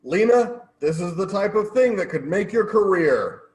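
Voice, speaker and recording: An actor playing a brusque, blunt newspaper boss in a stagey comedy play. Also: male voice, high voice, animated, normal speed, a little mellow. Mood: blunt, urging.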